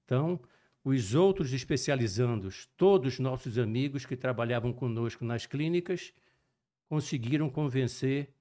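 An older man with a mixed Minas Gerais and Rio Brazilian accent, a cardiologist guest telling his story in a measured, detailed, professorial way. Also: medium pitch, animated, mellow. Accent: mixed Brazilian Portuguese, Minas Gerais and Rio de Janeiro. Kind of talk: relaxed storytelling interview.

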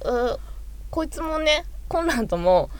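A woman podcast co-host chatting casually as a fan, animated and fond of acting out her examples. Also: high voice, unhurried, a crowd in the background. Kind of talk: casual fan chat about anime.

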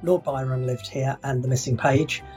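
An Englishman co-hosting a chatty podcast, stopping and restarting his sentences as he goes. His voice sits at an ordinary middle pitch. Faint music plays underneath. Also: steady tone, moderate pace, a little mellow. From England. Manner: hesitant, many restarts and fillers. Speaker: podcast co-host. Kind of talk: casual chat.

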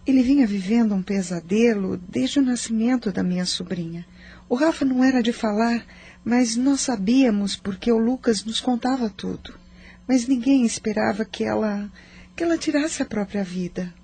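A woman playing a grieving but composed aunt in a radio drama, speaking gently and subdued. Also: fairly high pitch, normal speed, husky. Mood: subdued grief.

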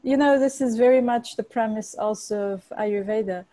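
A woman's voice: on the high side, moderate pace, mellow, no room sound. A woman from Bulgaria, a chef and cookbook author, answering interview questions earnestly with frequent restarts.

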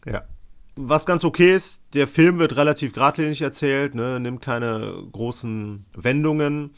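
A man's voice: medium pitch, unhurried, clean tone. A man giving a casual, colloquial film review as a podcast co-host.

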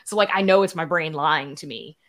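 A woman's voice: low-pitched, a little fast, a bit bright, slight background noise. A woman speaking candidly and casually as a podcast guest, self-deprecating, often dropping "you know" into her sentences. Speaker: podcast guest, writer and producer.

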